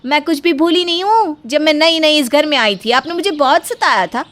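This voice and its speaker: Casting: actress for quarrelsome young daughter-in-law, cartoon family drama. High-pitched, sarcastic, threatening, aggrieved. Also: female voice, moderate pace, a bit bright. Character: sharp-tongued, short-tempered, threatening young daughter-in-law. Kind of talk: Hindi cartoon moral-story acting.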